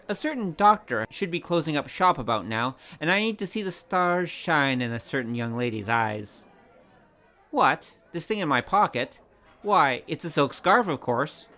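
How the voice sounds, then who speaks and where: Man playing a lively, cocky young adventurer. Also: fairly high pitch, lo-fi sound, heavily acted. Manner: wry, chatty, confiding. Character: breezy, cocky young male adventurer and storyteller. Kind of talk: audio drama acting and narration.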